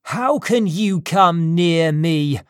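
A narrator voicing an anguished, furious man in an audiobook story, with loud, emphatic, dramatic delivery. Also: mid-range voice, lively intonation, drawn-out words, clean tone, no room sound, clean studio sound. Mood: outraged. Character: anguished, furious man confronting his own creation.

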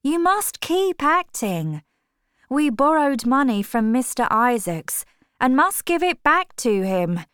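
Lady voicing a worried tired mom, pleading, clear dry audiobook voice. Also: sits high, big pitch swings, takes it slowly, fairly bright tone, no room sound, pitched above the performer's own voice, heavily acted. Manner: anxious, pleading. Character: tired older mother, worried and anxious.

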